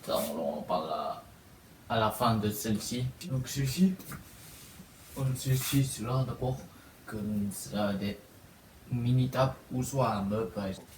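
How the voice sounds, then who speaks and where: A man with a cold vlogging about his home setup, talking haltingly with many fillers and restarts. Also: lowish voice. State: congested with a cold. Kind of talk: casual home video tour. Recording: echoey room, far from mic, steady noise.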